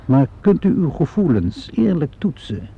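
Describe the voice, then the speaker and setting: An actor playing a calm, probing police inspector in a Dutch radio play, questioning politely and methodically. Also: male voice, a little high, measured pace, gravelly, slightly rough, heavily acted. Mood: measured, persistent. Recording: dull, lo-fi old radio recording.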